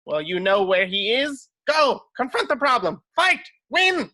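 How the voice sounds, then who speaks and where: A woman's voice: fairly high pitch, big pitch swings, a little slow, sharp tone, exaggerated voice. A woman playing an imperious, theatrical older fashion designer in an amateur table read. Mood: bossy, rousing.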